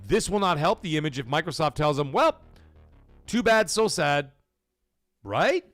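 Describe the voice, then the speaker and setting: A man hosting a casual live commentary stream, talking to his chat in an animated, profane way full of rhetorical questions. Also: fairly high pitch, measured pace, no echo, soft background music. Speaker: livestream host.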